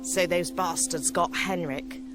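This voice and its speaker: Give me a woman as a blunt, low-voiced captain. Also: fairly even intonation, unhurried, lightly acted. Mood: wry familiarity. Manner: informal, blunt. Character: blunt, familiar female captain in a fantasy game. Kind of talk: video game cutscene acting. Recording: loud game music under the voice.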